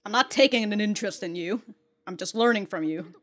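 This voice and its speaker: A woman's voice: medium pitch, fairly quick, fairly bright tone. A woman giving casual, slangy reaction commentary and swearing freely as the host. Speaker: host of an anime reaction show.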